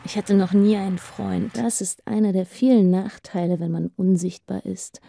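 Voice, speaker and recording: Lonely teenage girl, creaky voice. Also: mid-range voice, fairly even intonation, normal speed, warm tone, no room sound. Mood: subdued. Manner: introspective, hesitant. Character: lonely, shy, grieving teenage schoolgirl. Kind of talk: radio play inner monologue. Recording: music under the voice.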